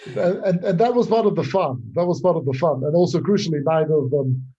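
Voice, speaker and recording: A man who is a writer and creator, explaining his work in an interview in articulate, deliberate phrases broken by pauses. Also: fairly high pitch, dark tone, breathy edge, highs cut off.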